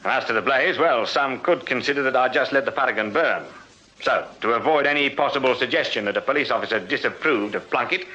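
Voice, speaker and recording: An actor playing a shrewd Victorian detective sergeant in a radio drama, English-accented, measured and deferential in a matter-of-fact way. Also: male voice, mid-range voice, pushed and bright, natural voice. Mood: dry, measured. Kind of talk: British radio drama acting. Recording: dull, lo-fi radio recording.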